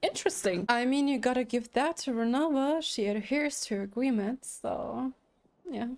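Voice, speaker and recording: A woman's voice: on the high side, moderate pace, darkish tone, soft background music. A woman giving casual reaction commentary on a game, in brief musing asides.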